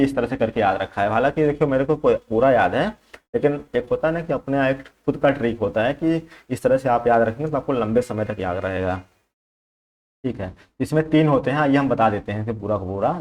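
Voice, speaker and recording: A man speaks at a medium pitch as a coaching teacher. He explains practice questions informally, step by step. He often repeats his points and drops English terms into his explanations. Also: a little mellow. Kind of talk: informal exam-prep lesson, working through questions.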